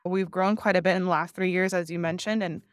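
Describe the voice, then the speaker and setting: A woman's voice: on the low side, steady tone, fast talker, no echo, studio quality. A woman from Miami, a podcast guest, talking in a reflective, earnest way and often saying "like". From Miami, United States. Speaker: podcast guest, customer success leader. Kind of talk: conversational interview.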